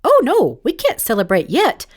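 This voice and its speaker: A woman's voice: high-pitched, wildly swooping pitch, moderate pace, breathy edge, heavily acted. A woman voicing a wry, knowing, good-humoured woman mentor from above in a storybook reading. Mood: teasing, knowing.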